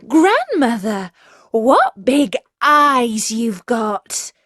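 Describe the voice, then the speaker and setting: A woman's voice: on the high side, sing-song, drawn-out words, fairly bright tone, dry recording, clean studio sound, pitched above the performer's own voice, full character voice. A woman voicing an innocent, trusting little fairy-tale girl in an earnest, wide-eyed manner. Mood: amazed.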